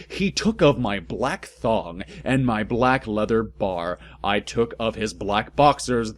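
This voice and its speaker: Man reading aloud with very animated pitch. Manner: theatrical, exaggerated, breaking into amused asides. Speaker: narrator and host of a comedic fan fiction reading. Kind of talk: dramatic comic read-aloud with asides.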